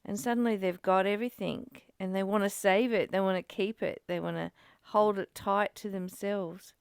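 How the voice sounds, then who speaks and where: A woman's voice: mid-range voice, steady tone, moderate pace, vocal fry, clear, resonant tone, no room sound, studio quality. A woman reading aloud and reflecting in casual discussion, in a musing, questioning and conversational manner. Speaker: study group co-host, reader.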